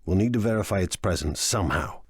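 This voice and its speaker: An actor playing a smug, scheming crime lord in a video game, wry, theatrical and sure of himself. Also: male voice, low voice, animated, quick pace, slightly rough, no echo, clean studio sound. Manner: wry, self-assured, theatrical.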